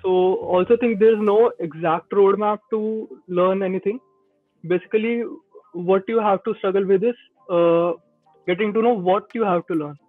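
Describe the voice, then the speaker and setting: A man's voice: high voice, normal speed, no echo. A man in his twenties with an Indian accent, giving informal advice as a guest in a video-call interview. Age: 20s. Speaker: podcast guest, Android developer. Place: small indoor room. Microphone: earbuds on a video call. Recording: tinny phone-like call audio, faint music.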